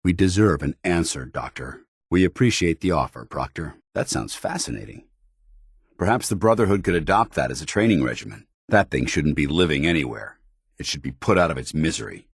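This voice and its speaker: An actor playing a stern, honor-bound soldier in a video game, speaking in a formal, commanding and earnest military way. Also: male voice, extremely low pitch, breathy edge, dry recording, studio quality, exaggerated voice. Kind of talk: video game companion dialogue.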